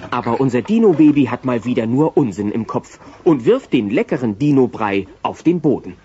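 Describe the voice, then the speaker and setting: A man narrating a children's audio play as an expressive storyteller. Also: medium pitch, moderate pace, noisy background. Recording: dull cassette transfer with music.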